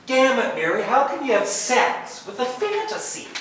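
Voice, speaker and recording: A man's voice: very high-pitched, huge pitch swings, moderate pace, fairly bright tone, full character voice. A man rehearsing a stage play as a bewildered, exasperated middle-aged husband, theatrical and swinging between muttered disbelief and indignant outbursts. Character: exasperated, bewildered husband jealous of his wife's fantasy lover. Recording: distant, echoey room with background noise.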